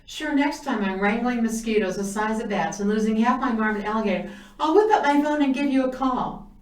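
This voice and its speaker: A woman's voice: on the high side, brisk, roomy sound, distant mic, natural voice, low-quality recording. Woman doing a sarcastic teenage brother. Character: injured teenage older brother, sarcastic young man.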